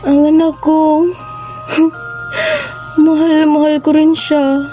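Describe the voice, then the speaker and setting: A woman's voice: high voice, flat delivery, slow, over music. A woman playing a deranged, grieving mother who searches for her lost child, pleading in anguish in an amateur radio drama. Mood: tearful longing.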